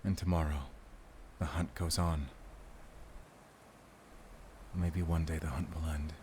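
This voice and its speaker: A man playing a weary, protective werewolf hunter in an audio roleplay, earnest and soft-spoken. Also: rumbling low, level tone, slow, a little hiss or hum. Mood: tender, tired. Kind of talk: intimate cinematic audio roleplay.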